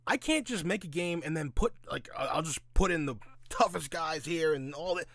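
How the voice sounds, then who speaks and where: A man's voice: on the high side, normal speed, fairly bright tone, dry recording, clean studio sound. A man giving casual, opinionated commentary in a blunt, sweary, sarcastic way, often asking and answering his own questions. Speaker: host of a gaming commentary audio log.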